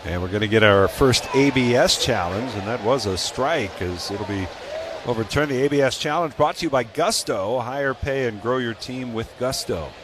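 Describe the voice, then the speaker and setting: A male American baseball play-by-play announcer on a live radio broadcast, with clear ambient noise behind him. His voice sits at a medium pitch as he describes the game in radio commentary style. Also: moderate pace.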